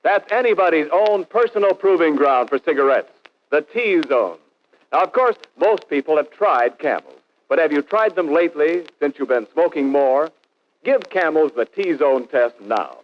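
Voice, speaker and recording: A male radio announcer from the 1940s, bantering and pitching products with an emphatic salesman's delivery. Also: animated, normal speed. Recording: dull, band-limited 1940s radio recording.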